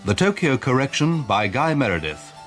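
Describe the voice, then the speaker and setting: A man's voice: mid-range voice, sing-song, normal speed, a bit bright. Male announcer over faint harpsichord. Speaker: radio announcer. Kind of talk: scripted programme introduction. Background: harpsichord music.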